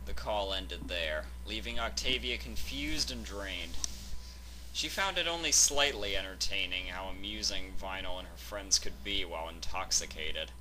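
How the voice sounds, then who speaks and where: A man narrates a piece of fan fiction from a script. His voice sits a little low yet is strikingly bright and forceful, with faint noise in the recording. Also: moderate pace, rough recording.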